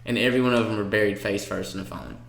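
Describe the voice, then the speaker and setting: A man's voice: on the low side, steady tone, very fast, vocal fry, fairly bright tone, echoey room. A young man co-hosting a casual podcast, rambling with lots of fillers and restarts. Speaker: podcast co-host. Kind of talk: casual chat.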